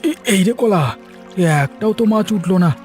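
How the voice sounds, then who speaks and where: A good-natured, hard-working poor fisherman from a cartoon folk tale, played by a man. His voice sits a little high and he talks in a folksy, homely way. Soft music plays behind him. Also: moderate pace, natural voice. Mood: eager. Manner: talks to himself aloud, folksy. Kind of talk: animated moral story voice acting.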